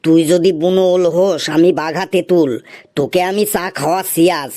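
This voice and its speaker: A woman voicing a scheming, vengeful male tea seller in a cartoon, muttering slowly and menacingly. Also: fairly low pitch, warm tone, lightly acted.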